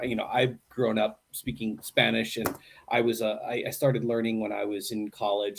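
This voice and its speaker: Chatty American man, relaxed teacher. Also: medium pitch, slight background noise. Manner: relaxed, chatty, frequent "right?" tags. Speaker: English teacher at a university program. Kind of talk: casual interview answers.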